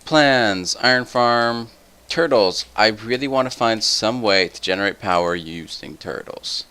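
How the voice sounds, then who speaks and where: A tired young man with a somewhat deep voice, rambling through casual gameplay commentary full of tangents. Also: unhurried, bright, forceful tone, clean tone. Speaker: let's play host.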